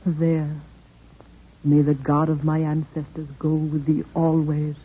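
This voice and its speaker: Proud, solemn queen, low and husky, formal archaic delivery, 1930s radio serial on a thin, tinny recording. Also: completely flat delivery, slow pace, full character voice. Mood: grave blessing. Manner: stately, formal archaic speech.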